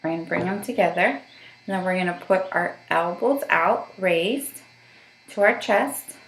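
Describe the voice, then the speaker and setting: A woman's voice: on the low side, unhurried, reverberant, rough recording. A woman working as a voice therapist, giving patient step-by-step instructions for voice exercises and checking in often. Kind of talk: guided voice therapy session.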